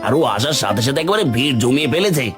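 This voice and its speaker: A man's voice: medium pitch, big pitch swings, racing, lightly acted. A man playing a jealous, scheming village fish seller in a cartoon folktale, grumbling and exclaiming. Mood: grumbling, envious. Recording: music behind the voice.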